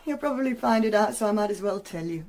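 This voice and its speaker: A woman's voice: mid-range voice, expressive, fast talker, darkish tone, lightly acted. An actress playing a blunt, defiant, bitter working-class mother in a radio drama. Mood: bitter, brittle. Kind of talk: emotive radio drama acting.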